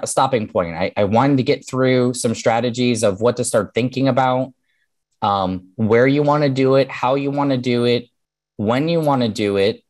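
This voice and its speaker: A man's voice: medium pitch, darkish tone. A man in his thirties talks through an online seminar from a home office, heard over a video call. His delivery is conversational and explanatory, with frequent "um" and "right?" as he checks in with the audience. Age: 30s. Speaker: mortgage lender teaching a webinar.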